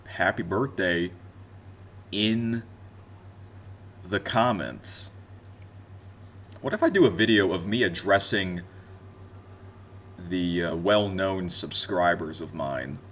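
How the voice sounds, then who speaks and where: A man in his twenties with a deep, low-pitched voice. He rambles conversationally, speaking straight to his viewers like a streamer looking back on his year. Faint noise sits behind the voice. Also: takes it slowly, long pauses, fairly bright tone. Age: 20s. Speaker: gaming content creator and streamer. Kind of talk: casual unscripted year-in-review vlog talk.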